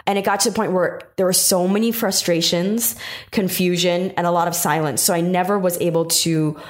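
A woman's voice: lowish voice, steady tone, brisk. A young woman from New York hosting an interview, talking openly and at length with many fillers, her first language Taiwanese. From New York, USA. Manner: warm, emotional, talks at length with many fillers. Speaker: podcast host. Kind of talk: casual conversational interview.

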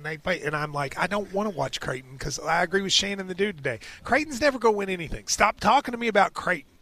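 A man's voice: high voice, animated, fast talker, sharp tone. A man in casual sports podcast banter, ranting in a mocking, exasperated way.

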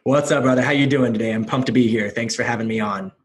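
Man in his thirties, US guest, energetic storyteller, swears casually, mid-range pitch. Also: fast talker. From United States. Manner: energetic, self-deprecating storyteller, swears freely. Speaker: podcast guest. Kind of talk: casual chat.

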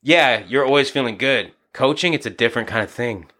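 A man in his thirties, a boxing coach and former DJ, talking casually and profanely on a podcast, telling stories and acting out quick asides. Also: mid-range voice, pushed and bright.

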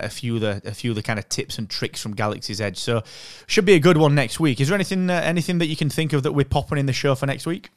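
A man's voice: mid-range voice, smooth, no echo, studio quality. A man co-hosting a podcast in a casual, chummy, jokey way. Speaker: podcast co-host. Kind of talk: casual chat.

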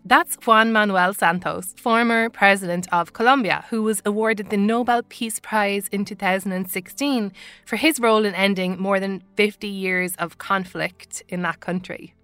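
A woman with an Irish accent, hosting and narrating a podcast intro in an earnest, measured way. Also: mid-range voice, steady tone, fairly bright tone, smooth, no echo, soft background music. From Ireland. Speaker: podcast host. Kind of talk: scripted narration.

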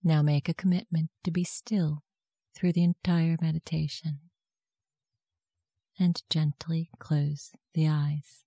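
A meditation guide giving gentle, soft-spoken, measured instructions in a scripted guided meditation. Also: mid-range voice, little pitch movement, no echo.

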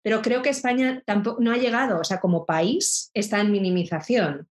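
A woman's voice: mid-range voice, fairly quick. Woman, thoughtful explainer, restarts phrases. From Spain. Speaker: intercultural educator. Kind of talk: reflective interview talk.

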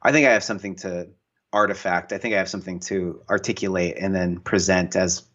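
A man's voice: low voice, a little fast, lo-fi sound. A man from the US Northwest, a guest author and painter, talking casually in an interview and acting out what people said, with lots of fillers. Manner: animated storyteller, acts out quoted voices, many fillers.

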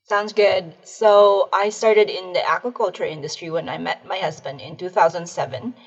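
Low husky woman with a Filipino accent. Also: fairly even intonation, normal speed, highs cut off. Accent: Filipino English. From Philippines. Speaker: podcast guest. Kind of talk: casual podcast interview.

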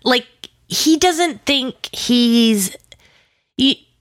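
A woman's voice: on the high side, expressive, very slow, fairly bright tone, dry recording, studio quality. A woman talking casually as an interview guest, in a chatty, informal and enthusiastic way. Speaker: interview guest, audio drama producer.

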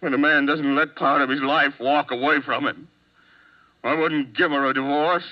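A man's voice: a little high, steady tone, moderate pace, natural voice. An actor playing a volatile, hot-tempered man wanted for murder, swinging between threats and pleading, in a 1950s radio drama. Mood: desperate. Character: hot-tempered desperate male murder suspect. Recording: dull lo-fi old radio broadcast.

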